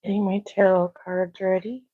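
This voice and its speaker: A soft-spoken woman reading tarot on a casual livestream at home, talking quietly to herself. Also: medium pitch, level tone, normal speed, no room sound, phone-quality sound. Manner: talks to herself, quiet and musing. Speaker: tarot reader. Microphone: clip-on microphone.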